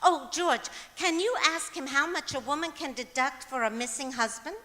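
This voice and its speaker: A woman's voice: high-pitched, normal speed, pushed and bright. A woman playing a scatterbrained, guileless wife in an old-time radio comedy performed on stage, earnestly delivering cheerful nonsense. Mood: chirpy, earnest. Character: scatterbrained, cheerfully illogical wife with her own daffy reasoning. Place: performing arts center auditorium, live stage. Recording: echoey auditorium stage hall.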